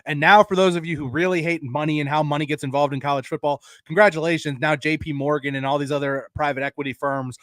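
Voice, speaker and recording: A man's voice: fairly high pitch, fairly even intonation, fast, hardly any pauses, fairly bright tone, dry recording. A man co-hosting a sports podcast, arguing emphatically about college football in casual, sometimes profane language. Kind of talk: opinionated college football debate.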